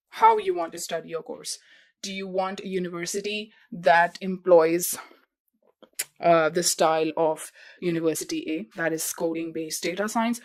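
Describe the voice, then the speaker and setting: A young woman hosting a podcast who explains things step by step in a repetitive way and talks straight to her listeners. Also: on the low side. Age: young adult. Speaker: podcast host. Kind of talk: advice talk.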